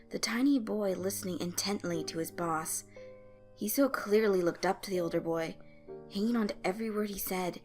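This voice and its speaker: A woman reading scripted fan fiction as a storyteller. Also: lowish voice. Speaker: narrator. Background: background music.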